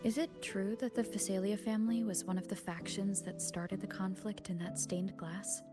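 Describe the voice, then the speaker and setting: A woman playing a calm, supportive young adventurer in a video game, speaking gently and directly. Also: mid-range voice, moderate pace, over music, lightly acted. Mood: calm, inquiring. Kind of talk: video game character dialogue.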